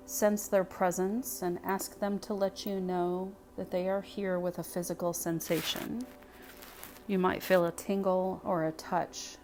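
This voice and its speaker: A woman leading a guided meditation in a soothing, unhurried way, speaking phrase by phrase with pauses. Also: lowish voice, darkish tone, a little hiss or hum. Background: music.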